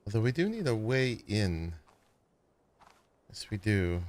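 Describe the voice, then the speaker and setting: A man casually chatting to viewers as he plays and builds in a survival game, with a sleepy voice and a relaxed, rambling manner. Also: fairly low pitch, measured pace. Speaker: gaming streamer. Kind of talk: casual gameplay commentary.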